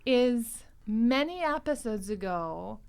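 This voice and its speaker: Animated woman chatting as podcast host. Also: fairly high pitch, slow pace, gravelly, a little hiss or hum. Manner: animated storytelling, quoting others' lines. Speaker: podcast host and show editor. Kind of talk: casual chat.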